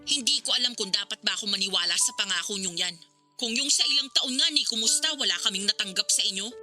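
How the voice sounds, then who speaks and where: A woman's voice: medium pitch, fast, pushed and bright, faint music behind. A Filipino woman playing a resentful grown daughter in a radio drama, speaking to her father with sarcasm, accusation and scorn. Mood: bitter, accusing.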